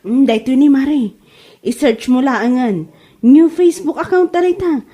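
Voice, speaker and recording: A woman's voice: sits high, lively intonation, unhurried, mellow, slightly rough, heavily acted. A woman with an Ilocano accent playing a mocking village gossip in a comedy radio drama.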